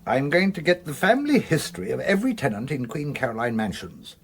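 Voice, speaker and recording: An actor playing a sensible, hard-working police detective in a radio drama, dry in manner, with an English accent. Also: male voice, mid-range voice, big pitch swings, fast talker, breathy edge. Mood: businesslike.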